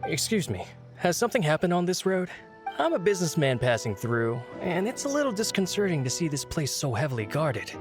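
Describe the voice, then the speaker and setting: A man's voice: on the high side, big pitch swings, fairly quick. A man voicing a dutiful, anxious retainer in a video game, polite and earnest. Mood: polite, probing. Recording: game music behind the voice.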